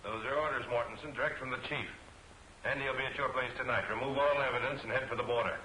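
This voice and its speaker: An actor playing a businesslike, menacing gang messenger in an old 1940s film serial. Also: male voice, medium pitch, fairly even intonation, fast talker, sharp tone, natural voice. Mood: cold, commanding. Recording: echoey, hissy old film soundtrack.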